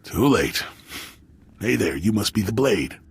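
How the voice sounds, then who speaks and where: An actor playing a cocky hired thug in a video game, with a rough voice and offhand menace. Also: male voice, lowish voice, big pitch swings, a little slow, exaggerated voice. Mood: casual menace. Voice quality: husky, rough. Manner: offhand, matter-of-fact menace. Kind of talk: dramatic video game acting.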